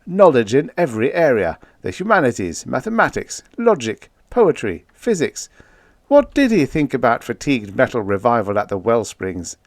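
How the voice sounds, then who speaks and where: A man's voice: mid-range voice, huge pitch swings, moderate pace, dry recording, voice pushed up. A man voicing a genial, avuncular elder president in an audiobook, with an emphatic, hearty manner. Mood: hearty, eager. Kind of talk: audiobook character acting.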